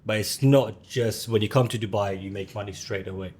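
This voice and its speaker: A man's voice: fairly low pitch, a little fast, darkish tone. A man co-hosting a podcast in casual chat, often chiming in to agree. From London, born to a Mauritian family. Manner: agreeing, brief interjections. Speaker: podcast co-host.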